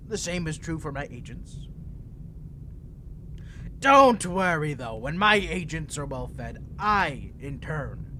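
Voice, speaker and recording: A man's voice: fairly high pitch, sharp tone, slight background noise, full character voice, rough recording. A man voicing an unhinged, ancient imprisoned vampire who is flirtatious, needy and manipulative, talking in a theatrical, rambling, teasing way in an audio roleplay. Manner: theatrical, rambling, teasing, swinging between smug and pleading. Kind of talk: dramatic character audio roleplay.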